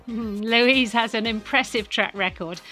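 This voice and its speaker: A woman's voice: on the high side, measured pace, pushed and bright, faint music behind. A British woman co-hosting a podcast, moving between chatty presenting and scripted reading. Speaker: podcast co-host.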